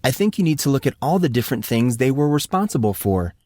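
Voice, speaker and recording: A man's voice: medium pitch, sing-song, fast, mellow, no echo, voice pushed up, lightly acted. Casting: adult male reader as tentative teen boy, earnest, trying for confidence; audiobook dialogue, dry sound.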